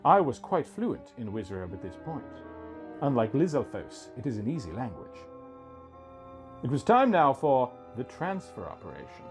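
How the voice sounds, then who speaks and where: A man's voice: medium pitch, big pitch swings, moderate pace. A man reading a scripted first-person story as its narrator, with music behind him.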